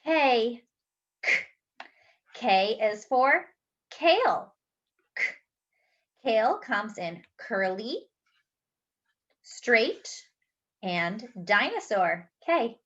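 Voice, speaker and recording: A woman with a high, swooping voice reads a picture book to small children. She goes slowly, stressing words carefully and slipping in playful asides. The recording is slightly dull and lo-fi. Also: sing-song, fairly bright tone. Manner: exaggerated, deliberate enunciation with playful asides to children. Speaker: children's read-aloud host, teacher-like. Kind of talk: animated picture-book read-aloud for young children.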